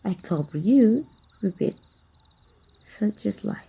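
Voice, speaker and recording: A woman playing a teasing, wistful and flirty female vampire hunter with a breathy, husky voice in an intimate ASMR roleplay. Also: mid-range voice, animated, heavily acted. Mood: taunting, flirty. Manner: slow, teasing, softly taunting.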